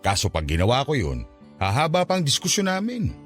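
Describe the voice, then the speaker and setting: An actor playing a patient, henpecked father who gently reasons and placates in a radio drama. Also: mid-range voice, huge pitch swings, brisk, soft background music. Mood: mild, wry.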